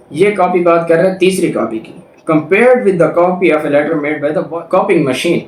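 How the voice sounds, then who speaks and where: A man's voice: a little high, darkish tone. A man with a Pakistani accent giving an explanatory lecture, reading out formal text and restating it step by step with examples. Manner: didactic, repeats and rephrases points with examples. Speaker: law lecturer. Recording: echoey room, distant microphone.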